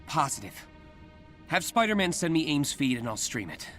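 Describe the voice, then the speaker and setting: A man's voice: fairly high pitch, big pitch swings, moderate pace, fairly bright tone, soft background music. An actor playing an earnest, anxious young male superhero in a video game, hesitant and a little awkward. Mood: resolute. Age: young adult. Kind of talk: naturalistic video game voice acting.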